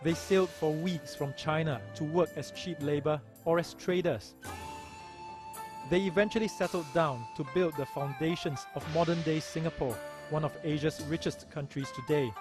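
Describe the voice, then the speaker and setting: A man reading a documentary news report in a measured, even voice. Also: a little high. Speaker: news narrator. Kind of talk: scripted documentary narration. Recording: voice-over with music behind.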